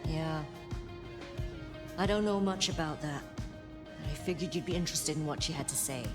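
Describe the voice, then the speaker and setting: Actress as a wry, low-voiced vampire gang leader. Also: female voice, moderate pace, natural voice. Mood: earnest, urgent. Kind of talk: video game voice acting. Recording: game music underneath.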